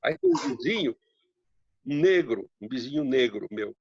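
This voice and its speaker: Older man, gravelly, heavy rolled R. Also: mid-range voice, very animated, dull audio, slight background noise. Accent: slight Hungarian-tinged Brazilian Portuguese, heavy R. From Brazil, raised in São Paulo. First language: Hungarian. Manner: unhurried, rambling, frequent tag questions. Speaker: guest writer. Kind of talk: casual interview storytelling.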